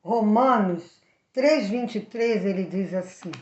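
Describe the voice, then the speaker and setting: A woman with a Brazilian accent telling a Bible story for children, speaking directly to the young viewers in an animated way. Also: medium pitch, slow pace, reverberant, muffled recording. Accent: Brazilian Portuguese.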